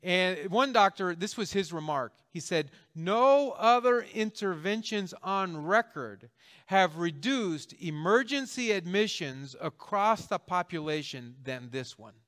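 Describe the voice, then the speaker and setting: A man's voice: high voice, lively intonation, measured pace, a bit bright. A man in his fifties, a pastor delivering a conversational sermon with jokes and emphatic stresses. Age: 50s.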